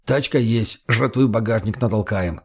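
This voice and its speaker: A man's voice: lowish voice, moderate pace, breathy edge. A man playing a burly, blunt, crude sidekick in an audiobook, with a coarse, slangy, teasing manner. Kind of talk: audiobook character voice.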